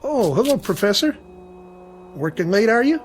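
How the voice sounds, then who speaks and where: High-pitched, folksy school caretaker. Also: male voice, sing-song, moderate pace, slight background noise. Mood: easygoing. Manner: casual, folksy, easygoing. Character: friendly, plain-spoken male school caretaker. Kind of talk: radio drama acting.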